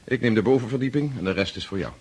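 A man's voice: mid-range voice, animated, rapid-fire, darkish tone, breathy edge. A man acting in a radio drama as a bitter, brooding aristocratic husband and freemason, speaking formally and curtly. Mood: cold, bitter.

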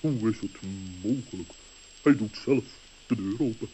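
A man's voice: fairly low pitch, normal speed, dark tone, slight background noise, lightly acted. A man voicing a pompous, wordy owl in an old children's radio play. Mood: astonished, huffy. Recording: dull muffled old radio recording.

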